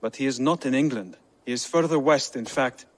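A man playing a grave assassin advisor in a video game, speaking in a measured, formal way. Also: medium pitch, lightly acted. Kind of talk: video game cutscene acting. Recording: long hall-like reverb.

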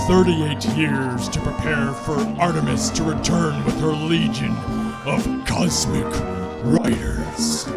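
Man acting slow, ominous radio storyteller. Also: on the high side. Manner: deliberate, portentous, long pauses. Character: ominous male storyteller prophesying cosmic doom. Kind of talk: old-time radio horror narration. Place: dark small theater stage. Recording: live stage, loud guitar music behind.

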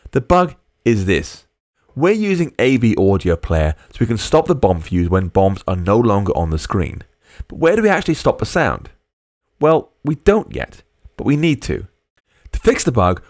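Man, low-pitched, lively intonation, coding tutorial narration, explanatory, step-by-step dictation. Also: no echo, studio quality. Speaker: programming tutor. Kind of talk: screencast coding tutorial, explaining while typing.

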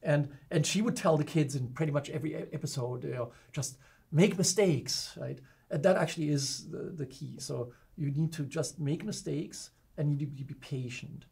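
Gravelly man with a German accent. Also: on the high side, normal speed, slight background noise. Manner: animated, laughs often, acts out quotes. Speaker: guest, professor. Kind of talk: casual interview storytelling. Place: studio with dark curtain backdrop.

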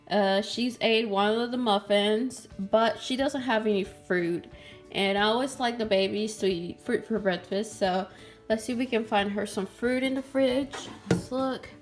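A young woman casually narrating doll-play cooking in a sweet, chatty way, speaking to her viewers and a doll. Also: a little high. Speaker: doll channel host. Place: toy kitchen indoors. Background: background music.

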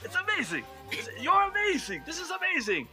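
A giddy gang boss for a tabletop game. The voice is pitched very high and piercingly bright, gushing and exclaiming with excitement. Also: male voice, brisk, soft background music, heavily acted. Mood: giddy, amazed.

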